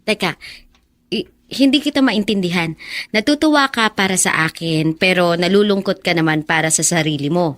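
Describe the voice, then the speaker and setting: A young woman playing a gentle, hopeful working woman in a radio drama, speaking in an earnest way. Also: fairly low pitch, normal speed, close to an everyday voice. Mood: gently puzzled. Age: young adult.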